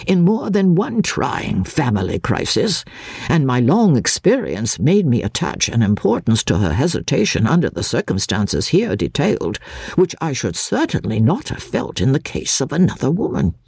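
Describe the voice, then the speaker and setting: A woman voicing an elderly gentleman lawyer who narrates a classic novel in a formal, deliberate, self-assured manner. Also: extremely low pitch, wildly swooping pitch, creaky, husky, no room sound, full character voice. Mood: reflective. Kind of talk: first-person literary narration.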